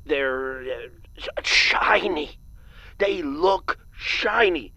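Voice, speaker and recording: A woman playing a quirky, agitated elderly maintenance supervisor in an audio drama, with a wheezy old voice and halting, rambling delivery. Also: deep voice, animated, pushed and bright, dry recording, heavily acted. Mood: alarmed, conspiratorial. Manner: halting, rambling, exclamatory storytelling.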